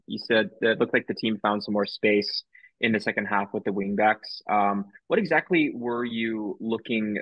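A man, a sports reporter, asking a coach a tactical question at a press conference in a measured, slightly hesitant way. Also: on the low side, no room sound, muffled recording.

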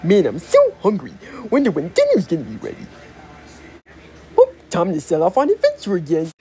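Male actor as cheerful hungry video-game cartoon hero, exclamatory, animated pitch. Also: normal speed, heavily acted. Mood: upbeat.

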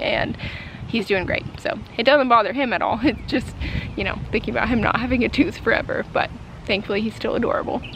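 A woman's voice: mid-range voice, creaky. Young woman vlogging outdoors over background noise. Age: young adult. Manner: conversational, frequent "like" and "you know". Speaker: homesteading family vlogger. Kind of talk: casual chat to camera. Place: outdoors in a grassy backyard.

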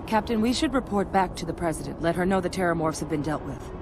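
A woman playing a cool, frank, serious companion in a video game, measured and matter-of-fact. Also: on the low side, lively intonation. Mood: composed. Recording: game music behind.

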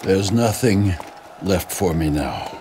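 A man's voice: deep voice, takes it slowly. A man acting a weary, resigned old general in a video game cutscene. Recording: clear crowd noise behind the voice.

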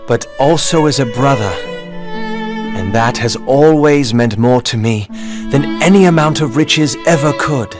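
An actor playing a loyal, sincere and reserved young gentleman in an animated game, speaking in a measured, earnest way. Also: mid-range voice, natural voice. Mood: sincere, tender. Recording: music under the voice.

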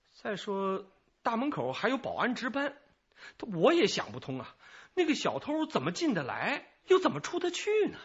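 A defensive, flustered store security guard in a radio crime drama. His voice sits fairly high and sounds tense and rough, on a slightly muffled recording. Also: fairly bright tone, exaggerated voice. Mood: defensive, baffled. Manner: defensive, agitated.

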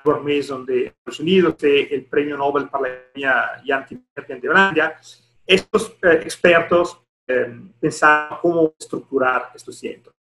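Man, middle-aged, Italian accent, non-native speaker, formal presenter, halting, slightly high voice, roomy echo, headset call. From Italy. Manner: halting, searching for words in non-native Spanish. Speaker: deputy director at an international organisation, presenting. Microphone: headset on a video call.

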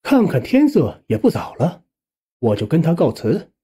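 Expressive male audiobook narrator with swooping pitch. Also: normal speed, husky. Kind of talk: scripted first-person story narration.

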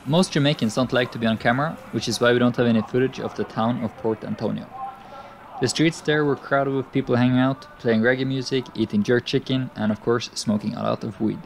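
A man's voice: mid-range voice, steady tone, brisk, creaky, slight background noise. A man narrating a sailing vlog in a scripted voice-over.

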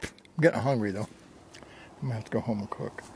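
Gravelly older man vlogging on a hike. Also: on the low side, fast talker. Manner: folksy, self-deprecating. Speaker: hiking vlogger. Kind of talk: casual narration while hiking. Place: outdoors on a rocky desert mesa slope. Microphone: handheld camera at arm's length. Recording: outdoor handheld camera with ambient noise.

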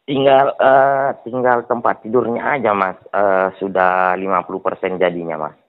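A man on a recorded phone call, speaking politely and eagerly, agreeing quickly and quoting prices. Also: lowish voice, level tone. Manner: polite, eager to please, repeats "siap, Mas". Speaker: suspected scam seller on a phone call. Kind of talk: phone conversation. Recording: tinny phone-line recording.

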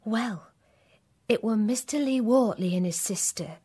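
An actress playing a nervous, apologetic young housemaid in a radio play. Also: female voice, on the high side, lively intonation, measured pace, mellow, breathy edge, exaggerated voice.

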